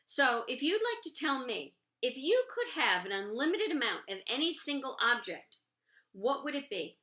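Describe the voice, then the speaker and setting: Woman playing an upbeat male schoolteacher, bright voice. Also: high voice, big pitch swings, normal speed, reverberant, pitched above the performer's own voice, full character voice. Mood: instructive. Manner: emphatic, encouraging. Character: encouraging, warm male schoolteacher leading class.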